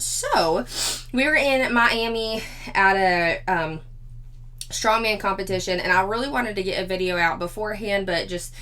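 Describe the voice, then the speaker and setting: A slightly hoarse woman, chatting casually as a commentary vlog host who laughs often. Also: mid-range voice, fairly bright tone. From Four-State area of Missouri, Arkansas, Kansas, Oklahoma.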